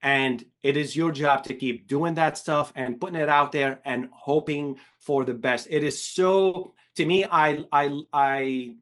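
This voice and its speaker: Middle-aged man from Syria, animation director type; candid and emphatic, full of repeats, voice sits a bit high. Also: fairly even intonation, unhurried, hardly any pauses, fairly bright tone. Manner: emphatic, repetitive restarts, swears. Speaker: animation director, podcast guest. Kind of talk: candid interview talk.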